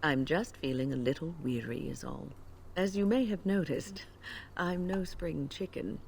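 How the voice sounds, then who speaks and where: A weary, gravelly old mage. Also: female voice, deep voice, unhurried, darkish tone, slight background noise. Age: older. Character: weary older woman mage, dry and dutiful.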